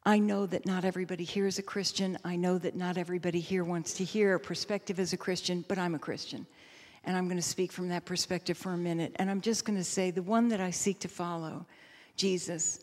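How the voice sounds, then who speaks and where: A woman pastor addresses a hall in a fairly low voice, with long reverb trailing her words. She speaks reflectively, almost preaching, in measured, emphatic phrases that she often repeats. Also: a little mellow. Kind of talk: reflective, sermon-like panel remarks.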